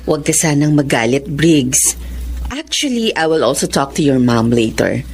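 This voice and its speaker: An expressive, caring lady psychiatrist. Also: low-pitched, slight background noise. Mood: calm, reassuring. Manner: measured, reassuring, mixes in English. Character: caring, composed woman psychiatrist, wife and mother. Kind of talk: Filipino radio drama acting.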